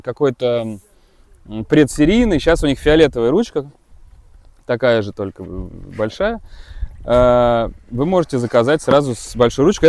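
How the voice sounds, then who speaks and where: A man who teaches kitesurfing talks through a board review in a loose, unscripted way. He stops often to hesitate and restart his thoughts. His voice sits at a medium pitch. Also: a little mellow. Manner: rambling, with many hesitations and restarts. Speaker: kite school instructor and gear reviewer. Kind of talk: casual gear review.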